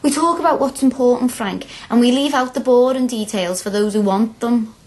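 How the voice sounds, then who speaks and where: A woman's voice: on the high side, normal speed, darkish tone, natural voice. An actress playing a spirited, earnest young working-class woman student with a blunt, impatient, impassioned manner in a radio drama. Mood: defensive, impatient. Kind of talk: naturalistic radio drama acting.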